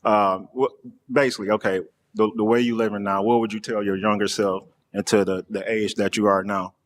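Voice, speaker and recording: A man in his forties, a dating show contestant, talking plainly with some halting restarts. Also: fairly low pitch, level tone. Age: 40s. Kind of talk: casual game show talk. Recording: faint background noise.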